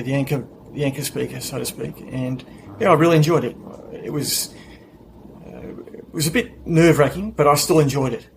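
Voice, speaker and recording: A man in his forties with an Australian accent, answering interview questions in a relaxed, measured way. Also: on the high side, a little hiss or hum, poor audio. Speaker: podcast guest.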